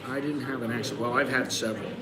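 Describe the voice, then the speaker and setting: A man's voice: mid-range voice, very fast. A man in his sixties from the United States hosts a casual live stream from a busy coffee shop, giving blunt, rambling advice with frequent restarts. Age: 60s. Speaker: host. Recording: roomy, crowded cafe. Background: crowd chatter.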